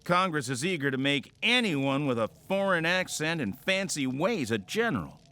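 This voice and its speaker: An actor playing a suspicious colonial army captain who talks in a stiff, scheming way. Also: male voice, fairly high pitch, sing-song, moderate pace, bright, forceful tone.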